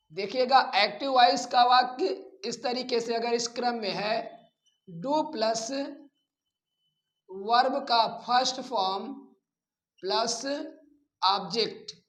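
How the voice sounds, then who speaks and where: High-voiced Indian male teacher in echoey room. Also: fairly bright tone. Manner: didactic, repeats key phrases deliberately. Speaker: English grammar teacher. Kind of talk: classroom lecture explaining rules bilingually. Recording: roomy, echoing voice pickup.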